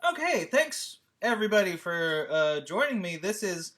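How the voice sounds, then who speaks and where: A man hosting a livestream with a casual, informal and halting introduction. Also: high voice, very animated, a bit bright. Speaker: host.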